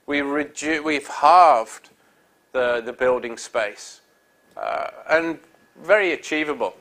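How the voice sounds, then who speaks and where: A man's voice: on the high side, slow pace, fairly bright tone. European man giving a trade talk. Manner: upbeat salesy delivery with many fillers. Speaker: industry speaker. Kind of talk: conference presentation. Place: conference hall stage.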